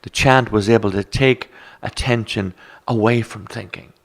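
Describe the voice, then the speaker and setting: An Irish man with a low, gravelly voice talks through mindfulness in a calm, instructive way. He sounds like a meditation teacher guiding a relaxed conversation. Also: moderate pace. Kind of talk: reflective conversation.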